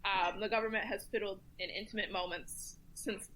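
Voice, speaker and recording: Woman, panel guest commentator; bright, clear tone, thoughtful and measured delivery. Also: medium pitch, fairly even intonation, a little hiss or hum, poor audio. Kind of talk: casual round-table discussion.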